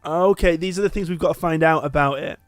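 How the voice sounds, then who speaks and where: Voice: mid-range voice, quick pace, dry recording, soft background music. A let's play co-host giving casual, chatty gameplay commentary.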